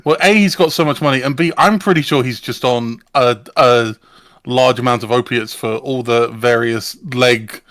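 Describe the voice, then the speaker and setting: A man's voice: mid-range voice, moderate pace, fairly bright tone, no room sound. A man on a podcast panel, chatting casually with a wry, dry sense of humour. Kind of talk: casual chat.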